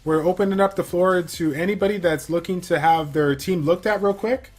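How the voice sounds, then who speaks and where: A man hosting a casual game livestream, reading viewer questions from chat and answering in a relaxed, filler-heavy way. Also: high voice, fairly quick. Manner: chatty, many fillers, reads viewer questions aloud. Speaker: stream host.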